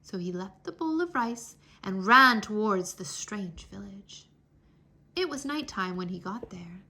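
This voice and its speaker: A woman reading a children's story aloud with expressive, dramatic storytelling. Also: mid-range voice, measured pace. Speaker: children's read-aloud narrator.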